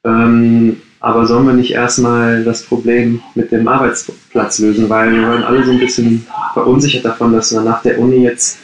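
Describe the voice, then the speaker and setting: A man's voice: medium pitch, completely flat delivery, moderate pace, hardly any pauses, slight background noise. A man in his late thirties from the Ruhr area, answering interview questions casually and with many hesitations. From Ruhr area, Germany. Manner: hesitant, many fillers and restarts. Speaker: coworking space co-founder, podcast guest. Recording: roomy echo.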